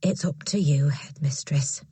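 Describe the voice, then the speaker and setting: A woman voicing a gentle young teacher who pleads softly and sometimes whispers. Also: medium pitch, very animated, a little slow, husky, no echo. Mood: soft pleading.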